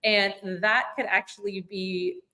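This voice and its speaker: A woman's voice: medium pitch, fairly even intonation, measured pace, bright, forceful tone. A woman who leads a youth organization, talking casually in a panel discussion and thinking aloud with lots of "like" fillers.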